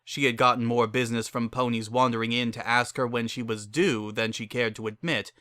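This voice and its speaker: A man's voice: mid-range voice, steady tone, fairly quick, a bit bright, no room sound, clean studio sound. A man narrating a fan fiction story as a scripted dramatic reading.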